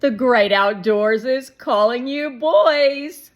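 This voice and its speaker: A woman voicing a cheerful, encouraging mother in a children's storybook, gently coaxing. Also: on the high side, takes it slowly, voice pushed up.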